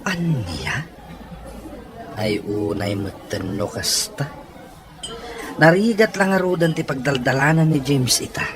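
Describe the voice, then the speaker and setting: A man's voice: on the high side, sing-song, slow pace, pauses often, music in the background, crowd noise, heavily acted. A man playing an easygoing, curious friend who reacts with disbelief in an audio soap drama. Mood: curious, incredulous. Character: curious, incredulous man, easygoing and skeptical of gossip.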